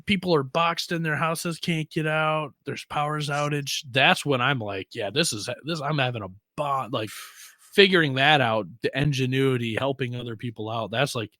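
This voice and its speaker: A man's voice: mid-range voice, moderate pace, sharp tone, no room sound, clean studio sound. An American man co-hosting a casual off-roading podcast, talking in an informal, slangy way with occasional swearing. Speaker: podcast co-host. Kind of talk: casual conversation about off-roading.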